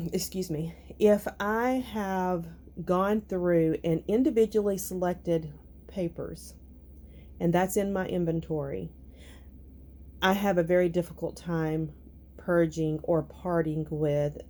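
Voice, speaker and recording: A woman with a raspy, nasal, hoarse voice, talking through her crafting clean-out in an unhurried, thinking-aloud vlog. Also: fairly low pitch, steady tone, warm tone. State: recovering from illness, hoarse. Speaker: crafting vlogger. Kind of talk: casual vlog talk and voiceover.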